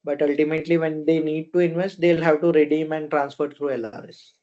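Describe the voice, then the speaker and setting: A man with an Indian accent giving unscripted answers as a company officer on a conference call, phrasing things haltingly. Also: fairly high pitch, fairly even intonation, a little mellow. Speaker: fund company officer answering investor questions. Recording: dull, lo-fi conference call audio.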